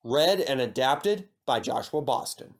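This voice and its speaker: A man's voice: medium pitch, animated, unhurried. A man reading a scripted children's story aloud as its narrator.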